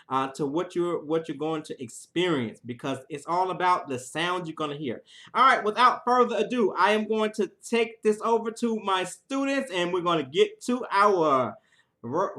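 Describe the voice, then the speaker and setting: A man speaking as a theater teacher, informally introducing a student show and haltingly searching for words. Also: sits high, fairly bright tone.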